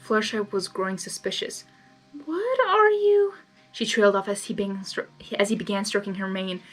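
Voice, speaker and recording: A woman's voice: mid-range voice, little pitch movement, fast, fairly bright tone, soft background music, poor audio. A woman reading a story expressively, dropping in casual asides as she goes. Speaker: fan fiction reader.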